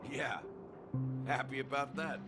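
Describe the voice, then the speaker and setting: A man's voice: medium pitch, fairly even intonation, unhurried, loud music behind the voice, close to an everyday voice. A man playing a cocky, teasing schemer in a video game, with a sardonic and theatrical delivery. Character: cocky, teasing roguish male schemer with hidden motives. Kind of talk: scripted video game voice acting.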